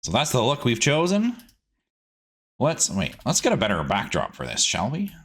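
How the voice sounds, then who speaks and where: A man's voice: medium pitch, huge pitch swings, normal speed, a bit bright, breathy edge. A man hosting a casual gaming video, chatting to himself playfully as he plays, with silly words and sing-song asides. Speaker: gaming host. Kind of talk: casual game commentary, let's play.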